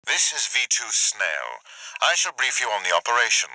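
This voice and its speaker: A man's voice: mid-range voice, big pitch swings, normal speed, pushed and bright, low-quality recording. An actor playing an arrogant, contemptuous male corporate military commander who talks down to everyone with sneering insults. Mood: briefing. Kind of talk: video game voice acting.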